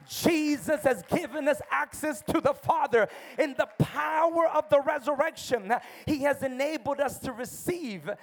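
A fiery guest preacher, a man from El Salvador who grew up in America and speaks with an American accent. His voice sits high, and he works the church, calling for amens and rising to shouts at the peaks. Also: vocal fry. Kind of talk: energetic church sermon.

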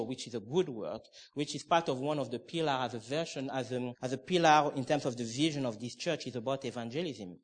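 Man from Cameroon preaching a sermon. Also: mid-range voice. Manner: emphatic, with frequent restarts and asides.

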